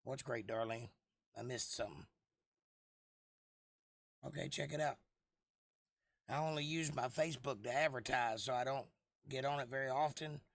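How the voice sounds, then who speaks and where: A man with a hoarse, froggy voice hosting a casual livestream, rambling and joking at his own expense as he replies to viewers. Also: medium pitch, moderate pace, pauses often, no echo, studio quality. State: lost voice for months, throat clearing. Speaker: livestream host.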